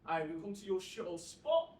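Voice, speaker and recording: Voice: medium pitch, very animated, normal speed, darkish tone, lightly acted. A performer voicing a cocky, menacing teenage street lad in a comic story, with slangy, mocking, swaggering talk. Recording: roomy, distant mic with faint noise.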